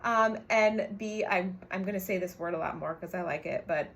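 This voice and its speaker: A woman's voice: fairly low pitch, normal speed, a bit bright. A woman coach giving an emphatic motivational livestream talk, swearing casually and often checking in with short tag questions. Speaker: brand coach and business co-owner.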